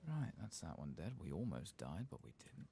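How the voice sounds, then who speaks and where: A soft-spoken man murmurs casual ASMR commentary over a game. His very low voice moves expressively up and down. Faint noise and clicking sit behind him. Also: sing-song, moderate pace, vocal fry. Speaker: ASMR gaming streamer.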